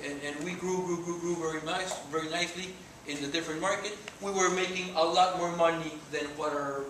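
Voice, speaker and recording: A man's voice: on the high side, steady tone, fairly quick, fairly bright tone. A man in his forties from Mexico with a Mexican accent, giving an earnest talk to students in a lecture room. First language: Spanish. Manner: earnest, gesturing while speaking. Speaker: guest speaker. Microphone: far camera. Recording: echoey room from far off, noisy.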